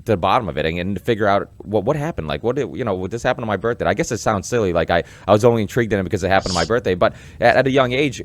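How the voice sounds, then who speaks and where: A man in his twenties hosting a podcast, talking in fast, rambling bursts and stumbling over words. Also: on the low side, animated. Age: 20s. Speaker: podcast host. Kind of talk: podcast interview.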